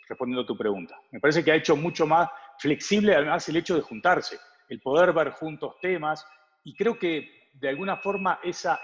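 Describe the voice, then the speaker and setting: Man in dull, heavily reverberant audio. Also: a little high. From Uruguay. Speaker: advertising agency partner, interview guest. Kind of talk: conversational interview answers.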